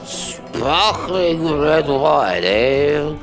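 A man's voice: a little high, expressive, drawn-out words, exaggerated voice. A man playing a rude, self-important screen actor with a haughty, sneering manner in an amateur radio play. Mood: haughty, tired. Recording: music playing loudly over the voice.

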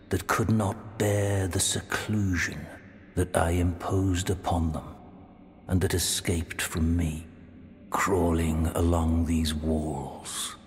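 An actor playing a solemn, ominous ancient preceptor in a video game, speaking with grave, portentous weight. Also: male voice, low voice, a little hiss or hum. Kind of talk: scripted video game villain monologue. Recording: long cavernous reverb, music underneath. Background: music.

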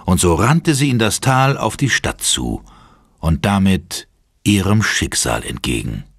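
A man's voice: low-pitched, big pitch swings, unhurried, a bit bright, husky, no room sound, studio quality. A man giving dramatic scripted narration as the narrator of a German horror audio drama.

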